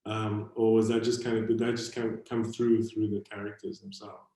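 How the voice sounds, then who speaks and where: A man's voice: lowish voice, level tone, normal speed, mellow. Rambling South African man, very echoey. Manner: rambling, hedging with many fillers. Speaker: moderator. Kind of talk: online panel conversation. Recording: very echoey room.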